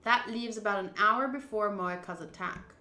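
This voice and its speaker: A woman's voice: mid-range voice, normal speed, bright, forceful tone, roomy sound, faint music behind. A woman streamer doing a game playthrough, reading text aloud and adding casual commentary.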